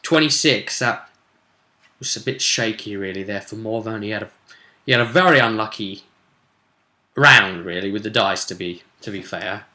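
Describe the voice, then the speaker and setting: A man's voice: lowish voice, moderate pace, pushed and bright. A man with a British accent giving a chatty, emphatic recap of a tabletop roleplay session as its host, talking straight to his audience. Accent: British English. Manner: emphatic, conversational, addressing the audience. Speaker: game master and host.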